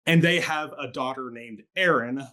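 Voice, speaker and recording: A man co-hosts a relaxed theatre review talk, a bit hoarse from a cold. He talks in a chatty, self-deprecating way and laughs easily, at an ordinary middle pitch. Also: moderate pace. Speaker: co-host and theatre critic. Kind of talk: casual review chat.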